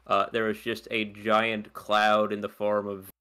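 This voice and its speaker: A man co-hosting a game stream in casual chat, hesitant and halting, with a dry sense of humor. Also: fairly low pitch, monotone, bright, forceful tone, smooth. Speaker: streamer co-host.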